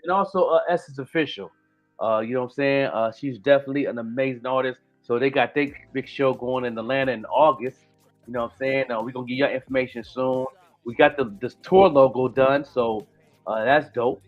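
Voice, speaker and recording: A man's voice: medium pitch, fairly even intonation, a little fast, muffled recording, soft background music. A man in his late forties talking through a casual podcast chat, rambling with lots of restarts and fillers. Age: 40s. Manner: rambling, many restarts and "you know what I'm saying". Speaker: podcast host.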